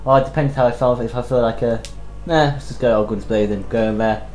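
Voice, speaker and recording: A man's voice: mid-range voice, steady tone, a little fast, a little mellow. A man co-hosting a casual gaming chat, joking and swearing as he reads out viewer questions. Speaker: co-host. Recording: echoey room with faint hum.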